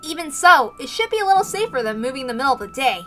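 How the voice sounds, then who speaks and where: A woman's voice: sits high, big pitch swings, racing, fairly bright tone, faint music behind. A woman voicing a brash, spirited teenage girl leader in an amateur reading of a horror visual novel. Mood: confident, spirited.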